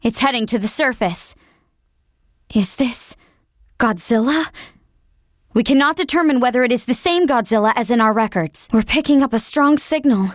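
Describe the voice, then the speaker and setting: A woman's voice: on the high side, lively intonation, normal speed, long pauses, no room sound, clean studio sound, close to an everyday voice. An actress playing a deferential, earnest female scientific aide in a video game, speaking formally and with urgency. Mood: alarmed.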